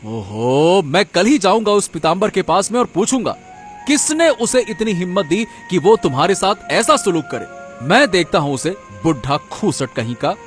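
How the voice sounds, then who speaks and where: An honest, soft-hearted village woodcutter from a cartoon tale, acted by a man. His voice is fairly high, animated and earnest, with faint music behind it. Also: normal speed. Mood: indignant. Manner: earnest, emotive. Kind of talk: cartoon story character acting. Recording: music under the voice.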